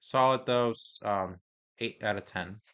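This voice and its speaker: A man casually reviewing trading cards, reading card text aloud in a halting, hesitant way, his voice getting hoarse. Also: on the low side, a bit bright. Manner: halting, hesitant, many pauses and restarts.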